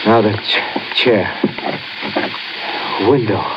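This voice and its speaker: A man's voice: lowish voice, drawn-out words. An actor playing a wisecracking, streetwise tough guy with a wry, slangy manner in a 1950s radio drama. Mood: groggy, straining. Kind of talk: 1950s hard-boiled radio drama acting. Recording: noisy muffled 1950s radio recording.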